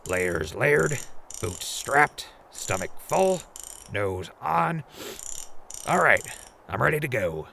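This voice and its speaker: A man's voice: mid-range voice, sing-song, slow pace, vocal fry, long pauses, noisy background, full character voice. An actor playing a grumpy, foul-mouthed wasteland radio man in a post-apocalyptic audio drama, muttering and grumbling. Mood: methodical.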